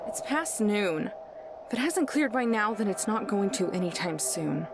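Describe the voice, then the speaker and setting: A woman's voice: mid-range voice, big pitch swings, normal speed, gravelly, very noisy. A woman voice acting a blunt, irritable young woman in a visual novel, curt and dismissive. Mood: irritated. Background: background music.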